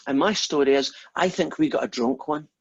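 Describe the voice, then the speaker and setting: A man's voice: on the high side, fairly quick, slightly rough, dry recording, highs cut off. Rambling Scottish man lecturing. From Scotland. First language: Scots. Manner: rambling, anecdotal, self-deprecating. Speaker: maths lecturer. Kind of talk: informal university lecture.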